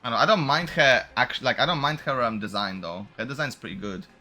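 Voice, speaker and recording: A man's voice: mid-range voice, a little fast, bright, forceful tone. A man doing casual, slangy game-streamer commentary, blunt and given to swearing as he reacts to story scenes. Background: game music.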